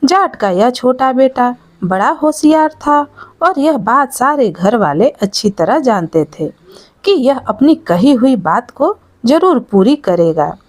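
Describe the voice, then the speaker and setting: High, animated woman storyteller. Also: slow, no room sound. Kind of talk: scripted moral-story narration.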